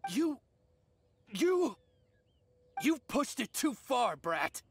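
Shifty, blustering bandit. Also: male voice, very high voice, sing-song, extremely slow, creaky, breathy edge, heavily acted. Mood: indignant, threatening. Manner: smug, blustering. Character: shifty male bandit posing as friendly merchant. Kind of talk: video game cutscene acting.

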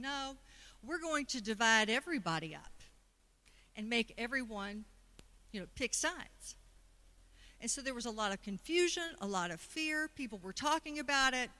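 A woman's voice: a little high, sharp tone. A woman giving a conversational Sunday sermon, often putting questions to the congregation. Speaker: speaker at a Sunday service. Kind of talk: sermon talk.

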